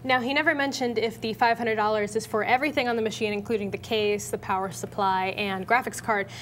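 A woman's voice: fairly high pitch, fairly even intonation, fairly quick, fairly bright tone, a little hiss or hum. A young American woman co-hosting a tech show from a studio desk in a casual presenting style. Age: young adult.

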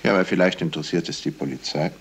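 An actor playing a middle-aged investigator in a 1970s radio crime play, courteous, measured and insistently probing. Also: male voice, low voice, slight background noise. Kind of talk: 1970s German radio crime drama acting.

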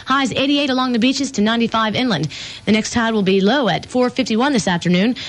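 A woman's voice: a little high, fairly quick. A woman anchoring a scripted radio newscast from a studio, reading news and weather as an announcer.

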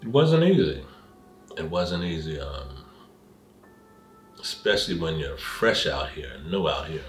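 A man's voice: rumbling low, big pitch swings, a little slow, pauses often, faint music behind. A man speaking emphatically in a documentary interview, telling his story, recorded with a roomy, distant mic.

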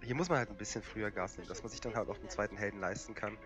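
A young man with a cold, hoarse and coughing, casually commentating a strategy game with lots of fillers. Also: fairly low pitch, rapid-fire, music in the background. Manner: relaxed and rambling, many fillers. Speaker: gaming channel host.

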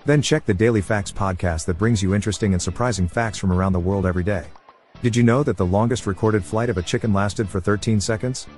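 Low guy doing a promo read, slows way down on the phone number, faint music behind. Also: a little mellow. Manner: clear, deliberate read, slowing right down for phone numbers. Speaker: podcast host reading promos.

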